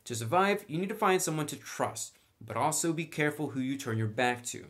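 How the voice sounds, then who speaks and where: A man's voice: fairly high pitch, expressive, fairly quick, a bit bright. Informal man walking through a tutorial. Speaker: host of a tabletop roleplaying tutorial channel. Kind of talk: casual tutorial walkthrough.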